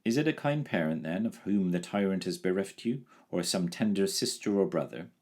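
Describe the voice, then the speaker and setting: Man reading as a noble storybook knight, stately and warm-hearted. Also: deep voice, lively intonation, normal speed. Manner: stately, grand. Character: kindly, noble knight, grand and solemn.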